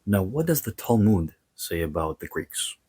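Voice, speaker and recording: A man presenting a scripted history and mythology lecture, explaining in short, paused phrases with casual asides. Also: deep voice, very animated, dark tone, a little hiss or hum. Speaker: host of a history and mythology series.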